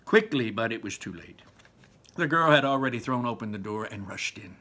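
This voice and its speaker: A man reading a children's book aloud in an animated way, dropping to whispers for effect. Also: medium pitch, normal speed. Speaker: read-aloud narrator.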